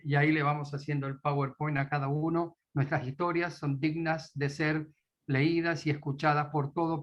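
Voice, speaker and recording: A man's voice: on the high side, fairly bright tone, lo-fi sound. A man with an Argentine Spanish accent introducing a guest at a church meeting, hesitant, with many fillers. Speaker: meeting host.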